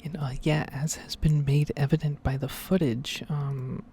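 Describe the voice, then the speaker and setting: A woman giving whispered and soft-spoken ASMR game commentary in a breathy, rambling, unhurried way. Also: low-pitched, steady tone, slight background noise. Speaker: gaming ASMR video creator.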